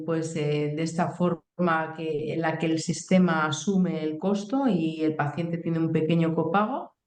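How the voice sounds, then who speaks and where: A woman's voice: fairly low pitch, darkish tone. Woman, doctor, hesitant, echoey room. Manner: hesitant, many fillers and restarts. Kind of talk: explaining on a talk show. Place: clinic. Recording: roomy echo.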